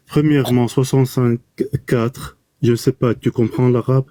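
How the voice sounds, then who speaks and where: Man, pleading, repeats himself, dark dry voice. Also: mid-range voice, fairly even intonation, moderate pace, no room sound. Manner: pleading, interrupts, repeats words. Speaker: debate participant. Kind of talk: heated online debate.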